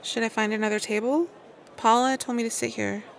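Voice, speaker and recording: A woman's voice: on the high side, steady tone, fairly quick, vocal fry. A woman playing an apologetic, awkward young woman back among old friends in a naturalistic audio drama, speaking in a hesitant, conversational way. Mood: uneasy, guarded.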